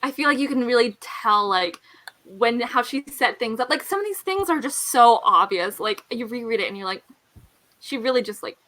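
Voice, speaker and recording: Bright, animated, higher-voiced woman. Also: normal speed. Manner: chatty, jokey, animated. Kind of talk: casual chat about books.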